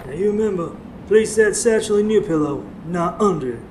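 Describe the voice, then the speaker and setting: An actor playing an instructive folk healer in a radio drama. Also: male voice, high voice, animated, normal speed, a little mellow. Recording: echoey live stage, faint noise.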